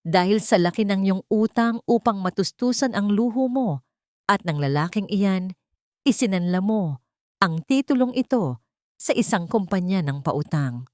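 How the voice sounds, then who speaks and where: Woman as wealthy vengeful son, audio story, cold, commanding, stern, deliberate, dry sound. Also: mid-range voice, lively intonation, a little mellow, dry recording, natural voice. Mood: cold, accusing.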